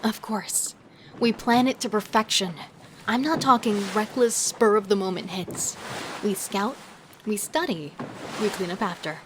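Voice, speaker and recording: A woman's voice: medium pitch, a little slow, slight background noise, lightly acted. A woman playing a confident, seductive serial killer who schemes and persuades with relish in an audio drama. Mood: persuasive, calculating. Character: cold, thrill-hungry female serial killer, confident and seductive. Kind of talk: audio drama acting with first-person narration.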